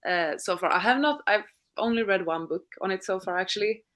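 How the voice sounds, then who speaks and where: A woman's voice: medium pitch, a little fast, fairly bright tone. A woman co-hosting a live reading stream, chatting in a friendly way and asking the others questions. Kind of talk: casual chat.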